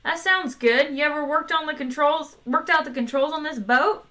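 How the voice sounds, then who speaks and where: A brash, excitable male adventurer who boasts loudly in a raised, pushy voice. A woman reading aloud puts him on. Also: high voice, normal speed. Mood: brash excited.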